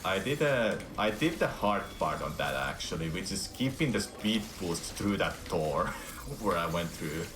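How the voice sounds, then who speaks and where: A man's voice: deep voice, very animated, unhurried, reverberant, background noise. A Finnish man with a Finnish accent, a speedrunner chatting casually and laughing often as he plays live. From Finland. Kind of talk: casual commentary while gaming.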